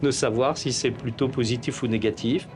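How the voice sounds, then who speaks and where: A man's voice: mid-range voice, steady tone, moderate pace, darkish tone. A man commenting in a documentary interview.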